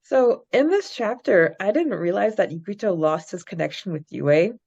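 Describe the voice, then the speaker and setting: A woman's voice: mid-range voice, expressive, normal speed, creaky, darkish tone, husky, lo-fi sound. A young adult woman co-hosting a podcast, recapping and discussing a story. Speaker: podcast co-host. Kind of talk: reading a recap, then casual book-club chat.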